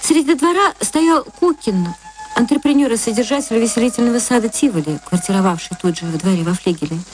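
Female narrator, fairly high voice, measured expressive delivery, literary radio storytelling. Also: faint music behind, poor audio. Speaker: narrator, stage actress.

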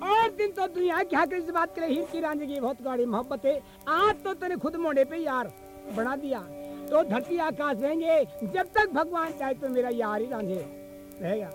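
A man. He performs as a traditional bard, alternating sung verse with narration, while an instrument plays clearly along on a field cassette recording. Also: very high voice, expressive, moderate pace, over music, poor audio. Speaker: traditional folk storyteller and singer. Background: musical instrument accompaniment.

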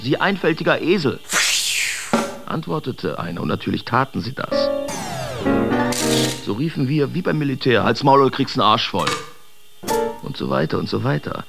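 An actor playing a brash, mocking male Dadaist artist, reciting theatrically in an expressive radio drama. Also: mid-range voice, moderate pace, vocal fry, pauses often, loud music behind the voice. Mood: defiant, scornful.

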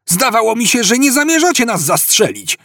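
A man playing a brash, flippant space adventurer in a comic audiobook, often shouting back cockily. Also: medium pitch, expressive, moderate pace, no room sound, clean studio sound, voice pushed up, close to an everyday voice. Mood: defiant. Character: brash two-headed male space adventurer, cocky, flippant.

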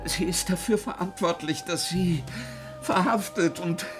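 An actor playing a frightened, cornered Soviet journalist in a German radio drama, pleading in an agitated, halting way as he informs. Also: high voice, music in the background. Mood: desperate confessing.

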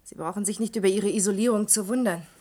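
A woman's voice: medium pitch, fast talker, dark tone, lightly acted. An actress playing a cheeky, inquisitive woman of twenty-two in a radio drama, teasing and forthright. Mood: teasing, curious. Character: cheeky, inquisitive 22-year-old woman posing as chambermaid. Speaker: actor in a radio play. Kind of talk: German radio drama acting.

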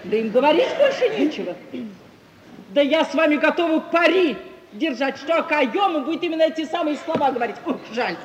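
A woman's voice: high voice, lively intonation, fairly quick, highs cut off, a little hiss or hum. An actress playing a sly, teasing provincial society woman, arch and knowingly ironic, in a 1950s Russian radio stage comedy. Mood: provoking. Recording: echoey hall, 1950s radio recording.

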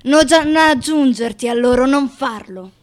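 Woman as a high-voiced sardonic barfly writer. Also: moderate pace, close to an everyday voice. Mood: sardonic, defiant. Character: sardonic bar-haunting writer, male, restless and defiant. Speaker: stage actress performing a literary monologue. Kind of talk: theatrical radio reading of poetry and memoir.

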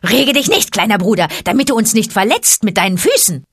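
A woman voicing a group of hissing cobras who calmly protect a small boy. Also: medium pitch, a little fast, breathy edge, voice pushed up.

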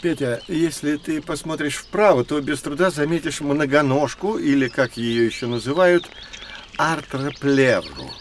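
A man's voice: on the high side, animated, a little hiss or hum. A man playing a kindly, learned professor who explains things playfully in an educational audio drama. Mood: explanatory. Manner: explanatory, gently playful, measured. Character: kindly, learned male professor guiding children through prehistory.

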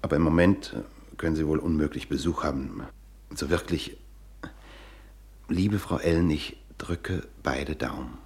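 A courteous, ill-at-ease male visitor in a radio drama. His voice is low, and his is hesitant and apologetic. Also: measured pace, long pauses, a little mellow. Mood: awkward, apologetic. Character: awkward, overly polite male visitor excusing himself. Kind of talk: German radio drama acting.

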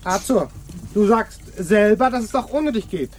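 An actor playing an agitated, bossy leader of pirate mutineers in a radio play, abrupt and commanding. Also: male voice, high-pitched, expressive, normal speed, slight background noise. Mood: irritated, placating. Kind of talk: German radio play acting.

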